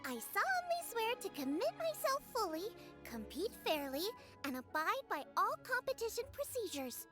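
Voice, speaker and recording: Very high-pitched, bubbly young girl chef. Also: big pitch swings, moderate pace, hardly any pauses. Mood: earnest. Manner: exclamatory, eager, cheering. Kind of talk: animated video game voice acting. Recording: music with jingles behind voice.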